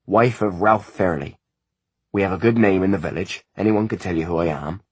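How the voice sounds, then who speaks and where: A man's voice: low voice, moderate pace, a little mellow, husky, no echo. A man voicing a weary, grieving peasant mother, sorrowful and pleading, in an audiobook.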